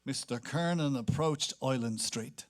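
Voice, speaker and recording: Male narrator, medium-pitched, literary reading. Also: a little slow.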